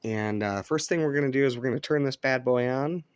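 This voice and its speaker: A man from Colorado hosting a relaxed show-and-tell about his radio gear, easygoing and chatty with frequent ums, speaking indoors at home. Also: medium pitch, a little fast, smooth, dry recording, studio quality. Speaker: radio hobbyist channel host. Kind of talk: casual show-and-tell demonstration.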